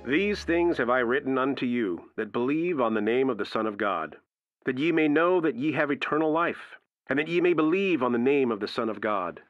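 Actor as animated, fervent radio preacher. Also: male voice, medium pitch, normal speed, dry recording, soft background music, lightly acted. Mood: fervent, exhorting. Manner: emphatic, declamatory. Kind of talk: dramatized radio sermon. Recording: dull, dry radio-like sound.

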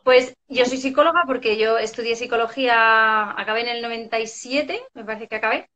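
A woman's voice: a little high, brisk, pushed and bright. A woman in her forties from La Mancha with a Spanish accent, a psychologist guest telling her story over a home video call. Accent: Spanish from Spain. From Castilla-La Mancha, Spain. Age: 40s. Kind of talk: casual interview. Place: home room, indoors. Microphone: video call webcam.

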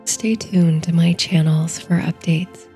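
Female sleep-story narrator. Very mellow and even, soothing and soft, faint music beneath. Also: on the low side. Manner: soothing, hushed, unhurried delivery. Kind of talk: slow bedtime story narration, guided meditation.

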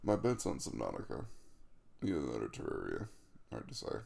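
A man's voice: low-pitched, creaky, heavily acted. A man playing a sleepy, caring young man in an intimate ASMR roleplay, speaking casually and hesitantly in a mumble. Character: sleepy, caring young man waking in his crush's bed.

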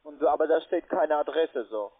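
A non-native man with a foreign accent makes a call center call. His fairly high voice questions haltingly and insistently. The line is thin and tinny. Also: husky. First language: not German. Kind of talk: phone sales call. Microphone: phone. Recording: tinny narrow phone line.

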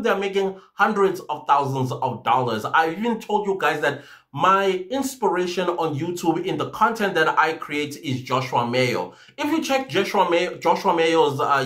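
A man in his forties talking casually to camera from a small home office, animated and addressing his viewers directly. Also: fairly high pitch. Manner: animated, gesturing a lot, speaks to viewers directly. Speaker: YouTube creator.

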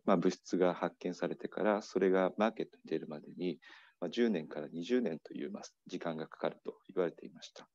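A middle-aged man with a very low, mellow voice delivers an academic keynote over slides, pausing often and filling gaps with hesitation sounds. The sound is dry and a little dull, like a remote talk recorded at a desk. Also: no echo. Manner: measured, frequent fillers and pauses. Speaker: keynote speaker. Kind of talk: academic conference lecture. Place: indoor room, video talk over slides.

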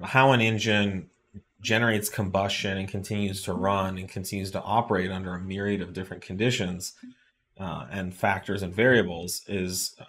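A man's voice: sits low, a bit bright. A middle-aged man hosting a live chat, explaining engine tuning in plain terms with many fillers and restarts, recorded in an indoor studio. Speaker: host. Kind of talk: casual technical explanation. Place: indoor studio with shop products on desk.

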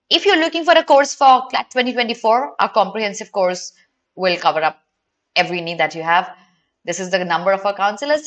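A woman's voice: mid-range voice, a bit bright. A young woman with an Indian accent teaching an online exam prep lesson to live students, instructional and slipping between English and Hindi. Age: young adult.

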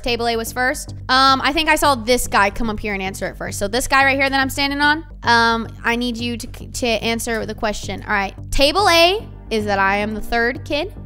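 A woman's voice: high-pitched, a bit bright. A young woman, hosting a multiplayer game in a bossy, playful way and repeating herself. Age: young adult. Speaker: game host.

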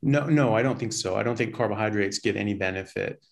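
A man's voice: fairly low pitch, fast, creaky. A man in his forties, a doctor guest on a casual interview, talking over a video call from a small plain room and often repeating words as he starts a thought. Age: 40s.

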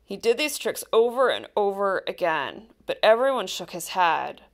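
A woman reading a children's picture book aloud, with expressive, deliberate storytelling and stressed key words. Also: mid-range voice. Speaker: storybook reader.

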